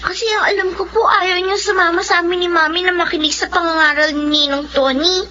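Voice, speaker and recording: A woman's voice: very high voice, steady tone, a little fast, sharp tone. A woman playing a polite, obedient and timid young daughter in a horror radio drama. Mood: polite, earnest. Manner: polite, deferential. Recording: muffled radio drama, faint noise.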